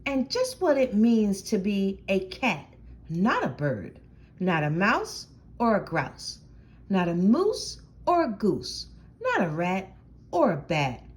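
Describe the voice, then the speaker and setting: A woman's voice: mid-range voice, wildly swooping pitch, takes it slowly. A woman voicing a stern mother cat from a storybook, scolding and lecturing in a firm manner.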